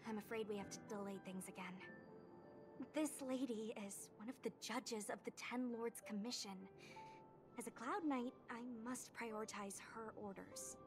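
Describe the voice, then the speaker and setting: An eager, earnest young female knight from an anime-style game, played by a woman. Her voice sits high and comes across chatty and spirited, with music playing clearly underneath. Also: moderate pace, close to an everyday voice. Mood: flustered, apologetic.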